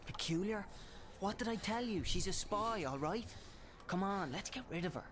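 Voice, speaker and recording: A man's voice: sits high, expressive, moderate pace, a bit bright, slight background noise. A man playing a suspicious, hot-headed young rebel in a video game, insistent and distrustful. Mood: indignant. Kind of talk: video game cutscene acting. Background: background music.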